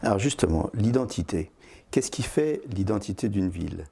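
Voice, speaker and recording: A male interviewer puts short, direct questions to his guest. His voice is gravelly and husky, with a dark tone. He sounds a little distant from the microphone. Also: lowish voice, expressive, normal speed.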